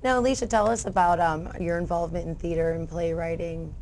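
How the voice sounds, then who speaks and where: A woman's voice: lowish voice, steady tone, fairly quick, darkish tone, a little hiss or hum. A woman hosting a casual interview in an upbeat, encouraging way. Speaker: interview host.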